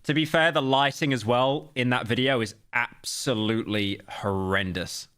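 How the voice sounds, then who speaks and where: A man's voice: medium pitch, normal speed, clean tone, dry recording, studio quality. Rambling self-mocking man in his late twenties. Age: late 20s. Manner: rambling, self-deprecating, loud outbursts. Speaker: streamer reacting to a video. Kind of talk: casual live-stream reaction.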